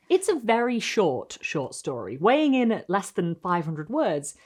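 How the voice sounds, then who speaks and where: A woman hosting and reading literary stories aloud in an expressive, theatrical style with deliberate phrasing. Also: mid-range voice. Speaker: host and reader of a literary video series. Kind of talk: dramatic storytelling, scripted narration.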